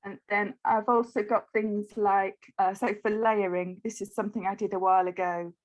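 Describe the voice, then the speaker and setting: A woman's voice: mid-range voice, flat delivery, moderate pace, low-quality recording. A woman from the United Kingdom chatting on a video call, hesitant and apologetic, with many ums. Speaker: quilt-along participant. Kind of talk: casual chat on a video call.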